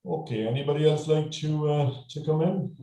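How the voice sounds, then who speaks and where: An Irish man hosting a local history meeting over a video call, speaking haltingly with many fillers and restarts. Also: a little high, dark tone, echoey room, low-quality recording. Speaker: meeting host. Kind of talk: hesitant moderating on a video call.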